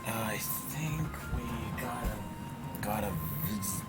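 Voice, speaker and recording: A man giving casual gameplay commentary with some improvised role-play, speaking in a halting, hesitant way. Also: on the low side, lively intonation. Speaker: video game let's player. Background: game music.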